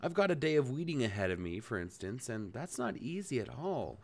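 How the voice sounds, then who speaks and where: A no-nonsense young farm woman, read by a man in a lively, animated voice. She explains things briskly and plainly, rising and falling as she goes. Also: mid-range voice. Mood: matter-of-fact.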